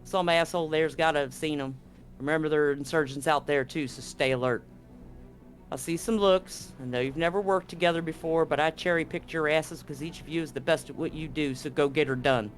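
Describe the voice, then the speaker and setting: A man playing a gruff, profane Marine commander briefing a squad in a tabletop game, blunt and commanding. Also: fairly high pitch, brisk, faint music behind, lightly acted. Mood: hard warning.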